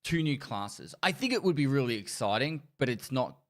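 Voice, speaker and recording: A man's voice: medium pitch, sing-song, normal speed, fairly bright tone. A man hosting a podcast, chatting in a jokey, exasperated way. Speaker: podcast host. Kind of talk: casual podcast chat.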